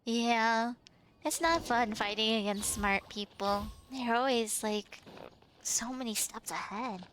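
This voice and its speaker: A woman's voice: a little high, slow, a bit bright, slight background noise. A woman streaming a video game, chatting casually, playful and laughing, talking back to the characters. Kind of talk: casual let's-play commentary. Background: faint game music.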